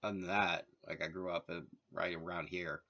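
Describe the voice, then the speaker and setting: A man's voice: sits low, fairly even intonation, brisk, creaky, bright, forceful tone, lo-fi sound. A man from Massachusetts talking in a rambling, casual monologue, full of filler words and wry asides. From Massachusetts, USA. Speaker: vlogger.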